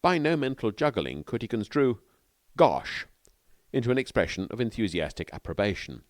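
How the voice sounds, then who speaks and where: Animated low-voiced male audiobook narrator. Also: brisk, no room sound, studio quality. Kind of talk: scripted narration of a comic novel.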